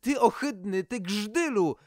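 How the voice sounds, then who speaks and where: High-pitched young maiden, played by a man, doting, hot-tempered, dramatic, storybook, unechoed. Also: expressive, takes it slowly, no room sound, clean studio sound. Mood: furious. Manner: cooing, wailing and scolding. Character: doting, shrill young maiden raging over her dragons.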